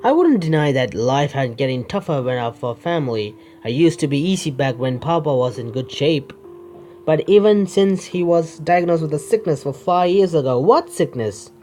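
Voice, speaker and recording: Halting man reading a game aloud. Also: on the high side, animated, mellow, slight background noise. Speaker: gaming video creator. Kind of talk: game playthrough, reading narration aloud with asides. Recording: music behind the voice.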